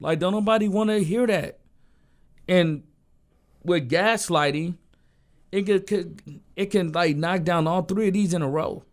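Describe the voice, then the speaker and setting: A man co-hosting a casual talk show, a bit hoarse from a sore throat, talking in a loose, laid-back way with lots of fillers. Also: sits high, a little slow, pauses often. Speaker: co-host. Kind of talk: casual podcast chat.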